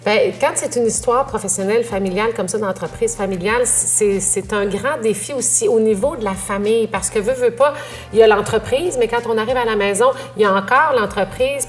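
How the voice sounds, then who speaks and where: A woman's voice: fairly high pitch, fairly quick, faint music behind. A woman talk show host with a Québécois French accent, interviewing a guest and summarizing what the guest says before she asks her questions. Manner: attentive, summarizing and prompting.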